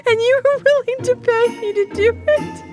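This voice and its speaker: An actress playing an amused, kindly, devout neighbor woman in an audio drama, expressive and patient. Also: extremely high pitch, normal speed, loud music behind the voice. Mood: incredulous, amused.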